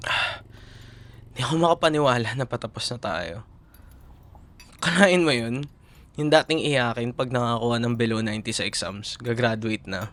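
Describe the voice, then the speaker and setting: A man with a Filipino accent playing an affectionate, teasing young college boyfriend in an intimate, softly hesitant ASMR roleplay. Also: mid-range voice, natural voice. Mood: affectionate, playful.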